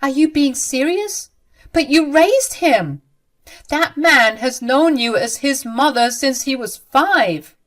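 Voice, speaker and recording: A woman's voice: high-pitched, expressive, slow, fairly bright tone, voice pushed up, heavily acted. A woman voicing a young bride who is protective and indignant, speaking emphatically. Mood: worried, outraged. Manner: emphatic and outraged.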